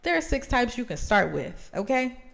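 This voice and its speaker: A woman's voice: a little high, sing-song, normal speed, vocal fry, fairly bright tone. A woman of thirty talking casually to camera as a host, in an encouraging, emphatic and conversational way. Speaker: host of a journaling video series.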